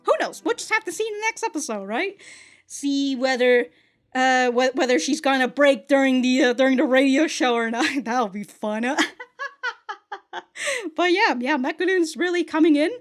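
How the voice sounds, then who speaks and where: Female reaction host, slightly high voice, casual, slangy, laughs a lot. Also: expressive, normal speed. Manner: chatty, laughs often, loose slang and swearing. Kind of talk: casual commentary.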